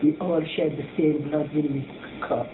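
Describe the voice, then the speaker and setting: Older man, fairly high voice, proud and warm, echoey room, tinny audio with hiss. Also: steady tone, normal speed. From Barbados. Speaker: discussion group member. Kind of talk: group discussion. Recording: tinny, roomy recording with clear hiss.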